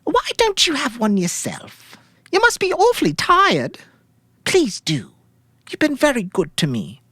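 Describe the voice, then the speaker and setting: A falsely sweet widow, as a story reader puts her on, coaxing and polite. The voice is pitched high and swoops widely, with a gentle, tearful plea in a dark audiobook tale. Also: wildly swooping pitch, unhurried, breathy edge, no room sound, studio quality, heavily acted. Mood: sweetly grateful.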